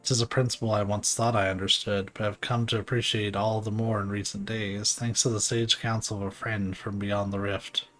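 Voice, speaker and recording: A man voicing a courteous, dignified ruler in a video game, with formal, archaic, measured diction. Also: on the low side, flat delivery, a bit bright, close to an everyday voice. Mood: formal, earnest.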